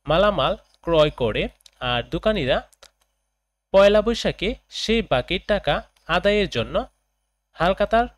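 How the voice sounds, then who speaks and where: A man, a teacher reading out lesson questions and answers for students in a deliberate, phrase-by-phrase way. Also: sits high, no room sound.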